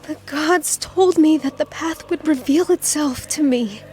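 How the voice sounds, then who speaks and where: A woman's voice: high-pitched, measured pace, mellow, faint music behind. An actress playing an earnest, firm young woman of faith in a video game cutscene. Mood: shaken, confused.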